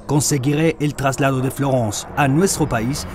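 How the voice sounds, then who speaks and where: An actor playing a proud, insistent middle-aged French president in an audio drama, speaking formally. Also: male voice, mid-range voice, fairly even intonation, moderate pace, background noise, close to an everyday voice. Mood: determined. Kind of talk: scripted audio drama dialogue.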